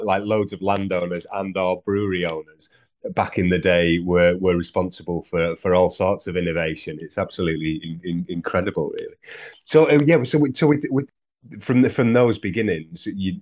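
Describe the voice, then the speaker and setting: A man hosting a podcast in a casual, chatty style, often stumbling over and repeating words. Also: deep voice. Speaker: podcast host. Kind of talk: casual chat.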